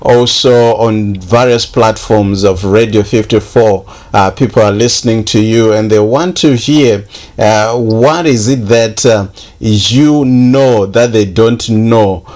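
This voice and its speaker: A man's voice: lowish voice, slow pace, darkish tone. A man hosting a radio interview, speaking with many hesitant fillers. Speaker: radio host.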